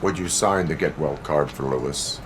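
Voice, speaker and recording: An actor playing a stoic cyborg police officer in a video game, speaking formally and deliberately like a machine. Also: male voice, very deep voice, a little hiss or hum. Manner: formal, deliberate, flat robotic delivery.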